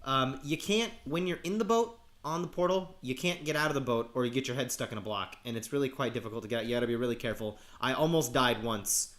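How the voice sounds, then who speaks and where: Sick man with a gravelly, cracking voice. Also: medium pitch, a bit bright. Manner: rambling, halting, with frequent restarts and ums. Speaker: let's play host. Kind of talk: casual gameplay commentary.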